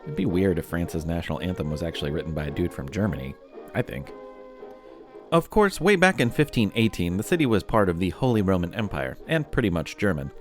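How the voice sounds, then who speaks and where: A man's voice: low-pitched, sing-song, brisk, music in the background. A man hosting a children's show, narrating from a script in a playful, theatrical way with wry asides. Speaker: podcast host.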